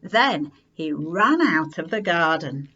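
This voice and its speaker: Slow, lively woman reading a children's story. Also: low voice, husky, dull audio. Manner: unhurried, animated, dramatic emphasis. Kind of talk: expressive read-aloud children's story narration.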